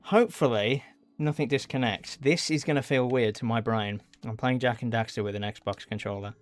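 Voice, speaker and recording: A man's voice: medium pitch, moderate pace. A man livestreaming a video game, chatting casually in an apologetic, muttering, sweary way. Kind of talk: casual live stream chat. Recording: music behind the voice. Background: game music, keyboard typing.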